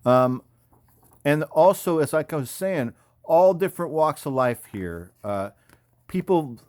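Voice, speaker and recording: A man's voice: fairly high pitch, lively intonation, slow pace, a little mellow, dry recording. An American man in his fifties, an army veteran, talking in a casual, rambling, slangy way as he comments on photos. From California, United States. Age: 50s. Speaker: army veteran, former paratrooper and combat medic.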